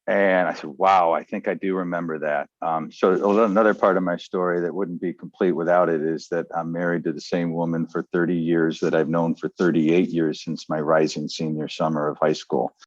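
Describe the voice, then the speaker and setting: A man's voice: low voice, flat delivery, a little fast, hardly any pauses, no room sound. A middle-aged man, an educator, reflectively telling his life story as a podcast guest. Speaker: educator and entrepreneur. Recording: dull, lo-fi audio.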